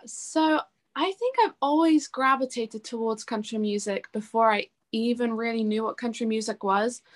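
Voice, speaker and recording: A young woman talking informally as an interview guest, chatty and peppering her sentences with casual filler words. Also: mid-range voice. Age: young adult. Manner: chatty, informal, often says "like" and "you know". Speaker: interview guest, singer-songwriter.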